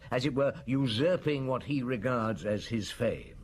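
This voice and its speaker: An actor playing a patient, methodical middle-aged police inspector in a radio drama, reasoning aloud. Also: male voice, mid-range voice, animated, a little slow, slight background noise, natural voice. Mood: deliberate. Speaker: detective.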